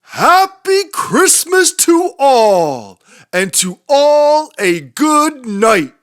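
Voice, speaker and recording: A man voicing a jolly old Saint Nicholas in a storybook, calling out exuberantly with drawn-out exclamations. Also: very high voice, big pitch swings, voice pushed up, full character voice. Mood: joyful, triumphant. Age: older. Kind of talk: storybook character voice.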